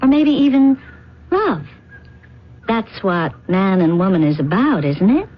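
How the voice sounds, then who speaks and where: A woman's voice: mid-range voice, very animated, unhurried. An actress playing a blunt, emotional, free-spirited young woman in love, in a radio drama. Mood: teasing, indignant. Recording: muffled radio drama recording, noisy background.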